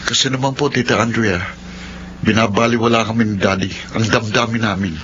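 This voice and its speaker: A man's voice: on the low side, steady tone, fairly quick, a bit bright, natural voice. A man playing a resentful, unforgiving young son in a Filipino radio drama, sullen and indignant. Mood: bitter. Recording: dull lo-fi radio sound, faint noise.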